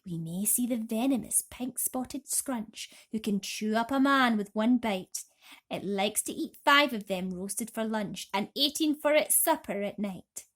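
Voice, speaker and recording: Woman putting on an excitable high-pitched storybook centipede. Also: unhurried. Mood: singsong, playful. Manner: exuberant, singsong rhyming delivery. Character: excitable, boastful male centipede, grinning and mischievous.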